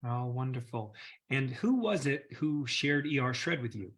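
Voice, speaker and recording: A man's voice: medium pitch, very animated, unhurried, fairly bright tone. A man hosting an online community call, talking in an enthusiastic, casual way with frequent fillers. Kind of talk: casual upbeat group call.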